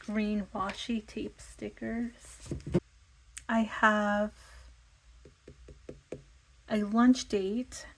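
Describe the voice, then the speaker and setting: A woman with a slightly raspy voice, chatting casually and thinking aloud with lots of fillers as she plans her week. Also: medium pitch, a little hiss or hum, low-quality recording. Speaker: vlogger. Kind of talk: casual planning chat.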